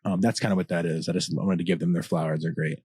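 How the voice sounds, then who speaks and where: A man with a deep voice, a rapper talking as a podcast guest, passionate, swearing often and rambling in long answers. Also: steady tone, fast talker, darkish tone, breathy edge, no room sound, studio quality. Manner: passionate, profane, long-winded. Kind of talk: casual candid chat.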